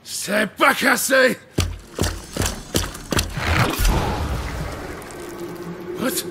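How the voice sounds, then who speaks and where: A gravelly, high-pitched old drunken sailor. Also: male voice, slow pace, background noise, heavily acted. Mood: terrified. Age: older. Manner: rambling, archaic seafaring dialect. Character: rambling drunken old sailor, broke and regretful. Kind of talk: audio drama acting.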